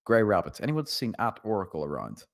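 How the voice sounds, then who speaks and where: A man's voice: fairly low pitch, wildly swooping pitch, fairly quick, creaky. A man narrating a screenplay, reading out the scene directions.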